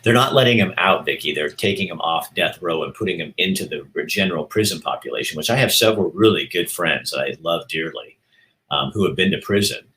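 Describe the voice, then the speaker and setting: A man's voice: deep voice, animated, fairly quick, pushed and bright, rough recording. A middle-aged man from Oregon hosting a casual live stream, talking candidly to viewers and thinking aloud as he rambles. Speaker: show host.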